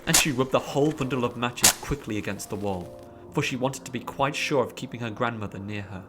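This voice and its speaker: A man narrating a story in a dramatic, expressive audiobook style, drawing out words theatrically. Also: medium pitch, fairly quick, very noisy. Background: music behind the voice.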